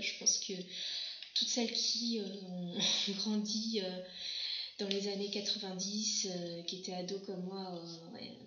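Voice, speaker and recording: A woman in her thirties from southwest France, chatting casually about herself in a vlog and pausing often on fillers. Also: medium pitch, reverberant, dull audio, background noise. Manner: hesitant, many pauses and "euh" fillers. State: pregnant. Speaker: vlogger. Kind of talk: casual self-introduction vlog.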